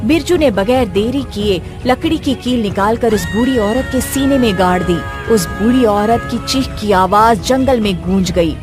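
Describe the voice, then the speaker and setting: A woman's voice: on the high side, moderate pace, few pauses, music in the background. A woman reading scripted narration as the storyteller of a tale.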